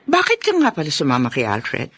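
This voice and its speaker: A woman's voice: deep voice, huge pitch swings, brisk, breathy edge, exaggerated voice. Brusque middle-aged mother. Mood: pointed, disapproving. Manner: curt, blunt. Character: brusque, possessive mother of grown children. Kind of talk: Filipino radio drama acting.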